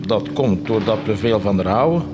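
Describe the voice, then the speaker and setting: A man's voice: on the low side, lively intonation, normal speed, over loud music, lightly acted. An actor playing a plain, earnest village peasant man who speaks simply and a little hesitantly, in a radio drama.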